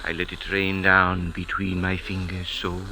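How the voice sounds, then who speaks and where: An actor playing a humble, insistent Egyptian sand diviner in old-time radio drama, with a halting, incantatory delivery. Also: male voice, low-pitched, completely flat delivery, background noise. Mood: mystical, coaxing. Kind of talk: 1940s-50s radio drama acting.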